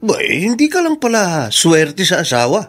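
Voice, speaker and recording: A man's voice: sits high, huge pitch swings, normal speed, a bit bright, heavily acted. An actor playing a nosy, teasing male friend in a radio drama, with a Filipino accent.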